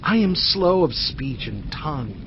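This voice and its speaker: A man voicing Moses, a hesitant man who speaks in a pleading, halting way. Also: on the high side, sing-song, highs cut off, background noise, voice pushed up.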